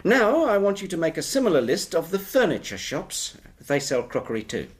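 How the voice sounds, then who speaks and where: A man's voice: fairly high pitch, sing-song, brisk. An actor playing a pompous, avuncular, slyly jovial male employer in a period radio drama, with a patronising, theatrical manner. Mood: pompous, businesslike.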